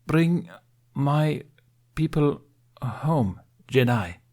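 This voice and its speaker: A man voicing a proud female alien captain who speaks in a halting, forced way. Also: a little high, animated, darkish tone, voice pushed up, full character voice.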